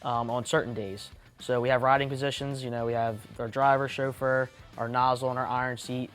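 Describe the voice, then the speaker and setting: A man's voice: mid-range voice, level tone, a little fast, fairly bright tone, soft background music. A man, a volunteer fire chief, answering interview questions in a practical, plain-spoken, matter-of-fact way.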